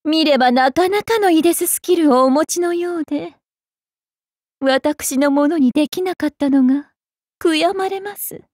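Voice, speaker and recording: A woman's voice: sits high, normal speed, a little mellow, dry recording, clean studio sound, natural voice. A woman voicing a sultry, serene, sinister temptress in a game, genteel and savouring her words. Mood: wistful menace. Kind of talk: Japanese game character voice lines.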